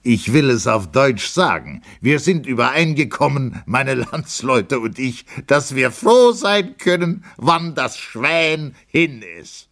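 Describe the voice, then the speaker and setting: A man voicing a drunken, defiant army officer in an audiobook, speaking slowly and with provocative emphasis. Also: medium pitch, animated, fairly bright tone, pitched above the performer's own voice. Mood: scornful, defiant.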